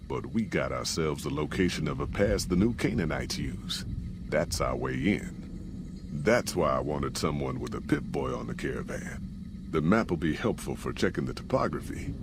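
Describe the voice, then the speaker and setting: Very deep-voiced folksy American caravan boss. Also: male voice, noisy background. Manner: folksy, drawling frontier speech, plain-spoken. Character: gruff, folksy male caravan boss in a post-apocalyptic wasteland. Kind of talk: scripted video game character dialogue.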